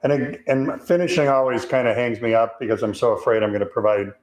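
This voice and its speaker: A deep-voiced man in his fifties with a Chicago accent, hosting a live woodworking question session. He reads viewer questions aloud in a careful, measured way. His voice bounces around a roomy shop space. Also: steady tone, a little mellow. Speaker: host. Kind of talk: live Q&A chat.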